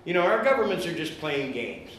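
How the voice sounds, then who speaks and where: A man speaks loudly and fervently to a meeting, rallying the listeners and peppering his talk with fillers. His voice sits high. The room echoes heavily around him, with a faint hiss underneath. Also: big pitch swings, brisk. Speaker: guest speaker at an activist meeting. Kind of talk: impassioned public speech.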